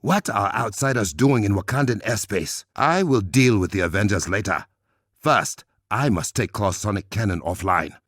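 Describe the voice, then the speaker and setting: An actor playing a regal, commanding warrior king in a video game, with an African accent, measured formal diction and a gravelly, husky voice. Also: fairly low pitch, animated, fairly bright tone, dry recording, clean studio sound. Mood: commanding, resolute. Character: regal, commanding warrior king, stern and proud, adult man.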